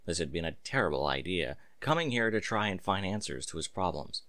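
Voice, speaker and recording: A man narrating a story aloud in an expressive, dramatic and emphatic way. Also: low-pitched, brisk, dry recording. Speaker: narrator reading fan fiction aloud.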